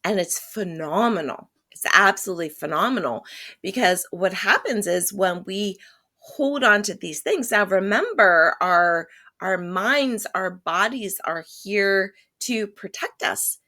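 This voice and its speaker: A woman's voice: mid-range voice, lively intonation, a little slow, bright, forceful tone. A woman speaking as a holistic healer guest in an interview, emphatic and repeating her key phrases. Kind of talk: conversational interview.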